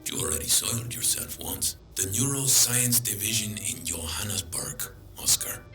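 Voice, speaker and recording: A man playing a menacing, mocking cartel interrogator in a video game, taunting softly and often dropping to a breathy near-whisper. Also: lowish voice, moderate pace, soft background music. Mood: quiet menace. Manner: drawling, taunting, falsely soothing.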